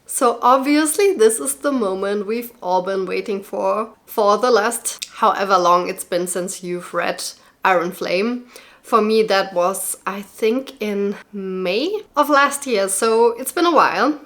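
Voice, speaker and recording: A young woman talks straight to camera in a casual vlog, her voice still touched by a lingering cold. She gushes with excitement and leans on plenty of fillers as she chats. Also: medium pitch, a little slow. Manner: gushing and excitable, with frequent fillers. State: recovering from a cold. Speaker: book vlogger.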